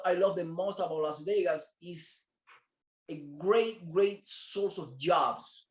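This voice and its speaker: A man's voice: on the high side, roomy sound, low-quality recording. A man from Cuba, a business owner answering interview questions earnestly, repeating words as he searches for them. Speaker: martial arts studio owner.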